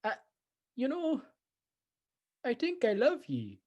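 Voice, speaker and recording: Killer leprechaun , woman acting it: put-on Irish accent, high voice, taunting, unhurried. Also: huge pitch swings, a little mellow, heavily acted. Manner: taunting, gleeful, slow. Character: menacing Irish leprechaun serial killer, male. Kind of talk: comedy sketch acting.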